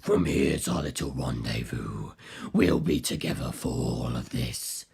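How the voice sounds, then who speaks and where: Audiobook villain: inhuman, haughty menace, cavernously low, heavy gravel, slow and contemptuous. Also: male voice, a little mellow, exaggerated voice. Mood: cold, superior. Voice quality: very deep, gravelly, husky. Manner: slow, theatrical, superior. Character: ancient male superhuman villain, menacing and contemptuous of humans. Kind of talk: dramatized audiobook character voice.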